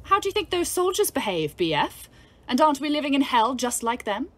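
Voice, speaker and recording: Hearty, bawdy wartime ambulance driver, young woman, highish voice, lively swings, audio play. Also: brisk. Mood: defiant. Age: young adult. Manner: jaunty, earthy, teasing. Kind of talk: audio drama acting.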